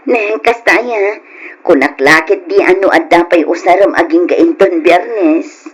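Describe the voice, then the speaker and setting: A woman's voice: on the low side, unhurried, breathy edge. A busy young wife and mother in an old-style radio drama. She speaks in a domestic, reassuring way, as a dutiful partner talking at home. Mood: agreeable.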